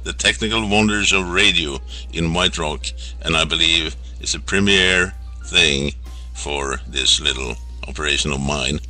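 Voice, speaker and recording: A man hosting an informal radio interview, speaking in a halting, rambling and polite way. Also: extremely low pitch, sharp tone. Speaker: radio show host.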